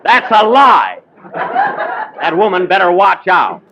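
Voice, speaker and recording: A man's voice: high-pitched, huge pitch swings, slow, lo-fi sound, a crowd in the background. A man hosting a 1940s radio comedy with grumpy, put-upon wisecracks. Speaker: comedy show host. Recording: crackly 1940s radio broadcast.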